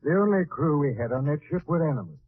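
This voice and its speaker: A man's voice: on the high side, big pitch swings, fast talker, no echo. A man playing an earnest, hopeful chief scientist in a 1940s radio drama, speaking formally. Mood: earnest, solemn. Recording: tinny old radio broadcast, music.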